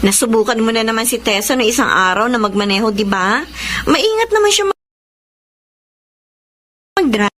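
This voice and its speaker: A woman playing an affectionate, gently teasing pregnant wife and older sister in a Filipino radio drama, speaking softly with a Filipino accent. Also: a little high, lively intonation, moderate pace, pauses often, a little hiss or hum.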